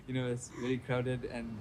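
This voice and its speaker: A man in his twenties who grew up in Saudi Arabia, talking as a podcast guest in an even, measured way with many fillers. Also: medium pitch, poor audio. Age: 20s. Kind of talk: casual interview storytelling. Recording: noticeable background hiss.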